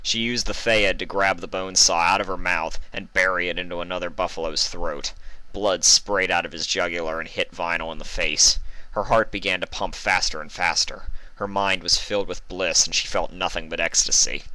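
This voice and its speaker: A man narrates a story in a low, forceful voice. The recording is dry, and he reads it as dramatic storybook narration. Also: fairly even intonation, moderate pace, dry recording, poor audio. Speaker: fan fiction reader.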